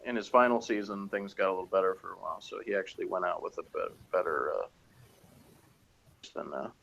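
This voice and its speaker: A man chatting casually as a co-reviewer, halting and often trailing off mid-thought. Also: deep voice, steady tone, vocal fry, fairly bright tone, low-quality recording. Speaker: co-reviewer of a TV episode. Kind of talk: casual chat.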